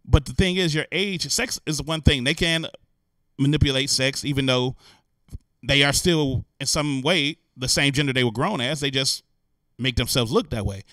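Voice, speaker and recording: A man hosting a show, giving animated, incredulous, joking and slangy commentary on the news. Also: mid-range voice, normal speed, no room sound, rough recording. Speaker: host. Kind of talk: comic commentary on news stories.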